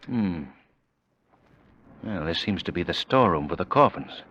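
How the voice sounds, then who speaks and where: An actor playing a bold, urbane amateur sleuth in an old-time radio mystery, decisive in manner. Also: male voice, low voice, animated, normal speed, fairly bright tone, muffled recording. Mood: teasing, confident. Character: suave, bold male amateur sleuth, adult. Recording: old radio recording with faint noise.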